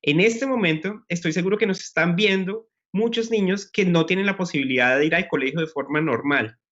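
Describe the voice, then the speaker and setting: A man, a scientist guest, explaining science in a measured way during a live news chat. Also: high-pitched, fairly bright tone. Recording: muffled broadcast audio.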